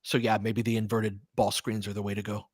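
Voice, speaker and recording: A man's voice: fairly low pitch, level tone, brisk, darkish tone, no echo, studio quality. A man doing casual live basketball commentary as a fan host, slangy and sweary, talking to the players.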